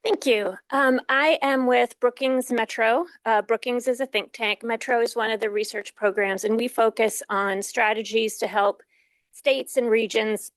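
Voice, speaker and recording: A woman researcher from a think tank speaking on a conference panel in a measured way, with a touch of dry wit. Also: medium pitch, dry recording. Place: conference forum.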